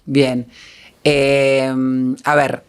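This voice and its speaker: A woman with a Uruguayan accent who works as a film producer, answering as a panel guest in a halting, self-deprecating way as she thinks aloud. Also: rumbling low, level tone, clean tone.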